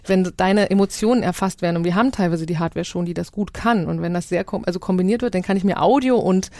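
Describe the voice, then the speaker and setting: A woman's voice: lowish voice, quick pace, a little mellow, no echo, clean studio sound. A woman in a casual podcast discussion, explaining things eagerly and often adding tag questions. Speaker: podcast panelist.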